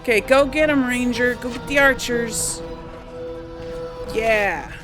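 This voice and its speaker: A woman's voice: fairly high pitch, takes it slowly. A woman giving casual, chatty gameplay commentary, thinking aloud to her audience as she plays. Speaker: let's player. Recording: voice over game music.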